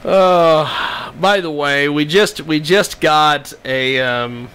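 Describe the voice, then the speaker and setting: A man hosting a casual live talk show, speaking haltingly and repeating words as he goes. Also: fairly high pitch, pushed and bright, clean tone. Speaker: radio show host. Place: radio studio.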